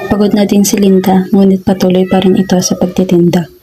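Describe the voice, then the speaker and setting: A woman's voice: medium pitch, little pitch movement, a little fast, slight background noise. A woman narrating a scripted story for a radio drama. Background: loud music.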